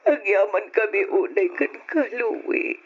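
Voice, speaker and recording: A woman playing an affectionate, emotional middle-aged aunt in an old radio drama, with expressive, drawn-out delivery. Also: mid-range voice, slightly rough. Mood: tearful remorse. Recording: dull old radio recording.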